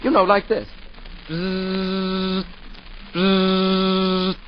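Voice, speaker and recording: A man's voice: high-pitched, completely flat delivery, very slow, slight background noise. An actor playing an eager young man joining the air force in a 1940s radio drama. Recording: dull 1940s radio broadcast with music.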